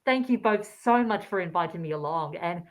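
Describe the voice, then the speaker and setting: Gushing woman with very lively pitch. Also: a little fast. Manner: gushing, effusive. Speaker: podcast guest. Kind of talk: casual chat.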